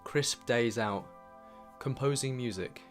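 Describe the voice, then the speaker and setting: A man reading a scripted, reflective year-in-review narration in a measured way as a vlog narrator. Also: medium pitch, faint music behind.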